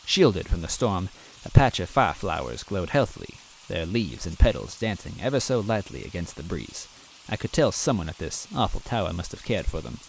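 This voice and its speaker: A man's voice: low-pitched, very animated, normal speed, vocal fry, hardly any pauses, a little hiss or hum, rough recording. A man reading a fan story aloud in first person, earnest and timid in tone. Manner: earnest and timid, self-deprecating. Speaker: story reader.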